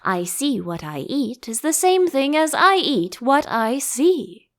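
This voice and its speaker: A woman's voice: high voice, big pitch swings, slow, full character voice. A woman voicing an eccentric, grumbling storybook man who is blunt, contrary and fond of riddles. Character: eccentric, grumbling, rude male tea-party hatter.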